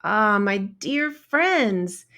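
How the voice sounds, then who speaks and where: A woman's voice: mid-range voice, expressive, very slow, sharp tone, exaggerated voice. A woman voicing a sweet, naive little female ladybug, small-voiced and earnest, in a children's storybook read-aloud. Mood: grateful.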